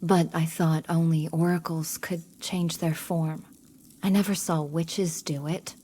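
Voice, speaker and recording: A woman's voice: deep voice, fairly even intonation, unhurried, warm tone, husky. A woman playing a determined young rebel witch in a video game cutscene, speaking earnestly and formally. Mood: questioning.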